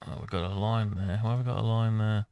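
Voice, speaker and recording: A man's voice: fairly low pitch, fairly even intonation, fast talker, smooth, no echo, highs cut off. A man giving a casual think-aloud tutorial, muttering to himself as he talks through each step. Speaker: host of a CAD design tutorial.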